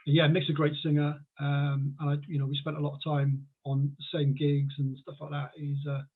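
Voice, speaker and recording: Here is a man in his forties whose accent mixes Welsh and southeast English, chatting loosely on a call and rambling through his thoughts. His voice is husky and sits a little high, and it comes through a narrow, tinny phone-like line. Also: monotone, moderate pace. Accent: mixed Welsh and southeast English. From Wales. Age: 40s. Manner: chatty, rambling with many fillers and restarts. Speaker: guest. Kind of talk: casual podcast conversation.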